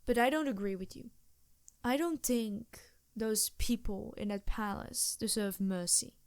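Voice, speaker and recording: Slow, soft-spoken succubus played by a woman. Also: fairly high pitch, no room sound, studio quality. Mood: earnest, disapproving. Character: scheming female succubus demon, seductive, reluctant but loyal ally. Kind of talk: improvised ASMR roleplay, intimate and conversational.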